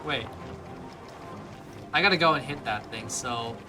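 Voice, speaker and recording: A man's voice: mid-range voice, measured pace. A young man giving casual let's play commentary on a video game. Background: faint game music.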